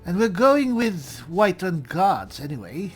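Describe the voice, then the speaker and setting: Lively, high-pitched, unhurried male gamer. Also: breathy edge, soft background music. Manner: dry, wry, offhand. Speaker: gamer roleplaying as an elf spellsword. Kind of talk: casual gameplay commentary with in-character replies.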